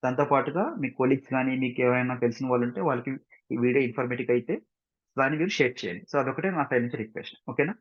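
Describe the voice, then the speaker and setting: A man with an Indian accent giving an informal software tutorial, repeating himself, mixing in English terms and often checking that listeners follow. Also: mid-range voice, steady tone, racing, muffled recording. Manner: repetitive, frequent check-in questions, mixes English terms into speech. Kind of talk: screen-share tutorial, informal explanation.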